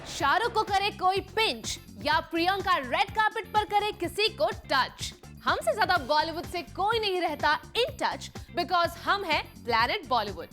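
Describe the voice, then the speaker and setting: बहुत ऊँची, चमकीली आवाज़ वाली महिला एंकर. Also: female voice, extremely high pitch, fairly bright tone. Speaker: show host. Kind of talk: scripted news headlines voice-over.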